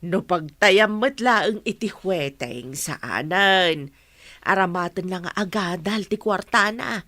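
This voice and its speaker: Filipino mom in a radio drama, defensive, very up n down voice, dry studio. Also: female voice, medium pitch, lively intonation, takes it slowly, fairly bright tone, no room sound. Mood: reproving. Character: defensive, protective adoptive mother. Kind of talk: Ilocano radio drama acting.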